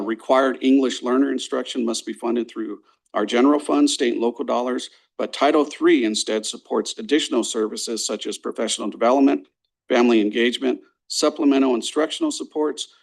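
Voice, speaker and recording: A man, a program coordinator, giving a measured, formal presentation to a school board, reading from notes with some fillers. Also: mid-range voice, gravelly, low-quality recording. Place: board meeting room.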